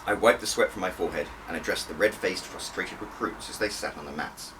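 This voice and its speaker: Male author-narrator: deep, expressive first-person storytelling, recorded slightly off the mic. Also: a little fast. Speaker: author reading own audiobook. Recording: distant mic with faint background noise.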